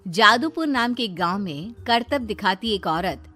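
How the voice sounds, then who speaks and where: A woman's voice: mid-range voice, expressive, moderate pace. A woman reading a scripted children's moral story as its narrator. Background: background music.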